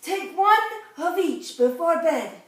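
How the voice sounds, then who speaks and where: A woman's voice: very high-pitched, animated, slow, full character voice. A woman voicing self-important male doctors in a children's storybook, pronouncing diagnoses with emphatic authority. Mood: authoritative. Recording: very echoey room, distant mic.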